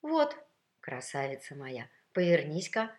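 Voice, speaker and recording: A woman's voice: low voice, big pitch swings, unhurried, darkish tone. A woman voicing a tender, doting man who coaxes and reassures his beloved, in a story reading. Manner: cooing, coaxing.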